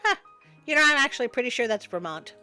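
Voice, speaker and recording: Female storyteller, high voice, expressive and wry, storybook narration over music. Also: fast talker, smooth. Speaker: storyteller, host.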